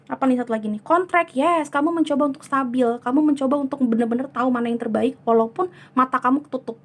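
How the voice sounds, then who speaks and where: Hoarse high-voiced woman reading tarot. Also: fast talker. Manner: soothing, chatty, many fillers. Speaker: tarot reader. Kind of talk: casual tarot reading, mixing in English.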